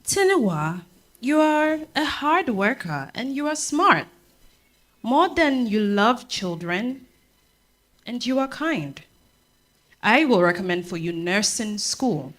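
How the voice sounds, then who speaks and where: Slow-speaking Ghanaian woman doctor, acted on stage. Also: a little high, big pitch swings, fairly bright tone, exaggerated voice. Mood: calm, encouraging. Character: encouraging doctor friend giving calm advice. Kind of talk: staged dramatic reading.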